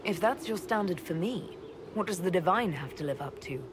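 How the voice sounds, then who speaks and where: Game heroine voiced by a woman: composed army leader, reserved, plain-spoken, lowish pitch, ambient noise behind. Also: animated, moderate pace, lightly acted. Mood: calm, questioning. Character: composed, level-headed female leader of a fantasy army. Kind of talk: video game dialogue acting.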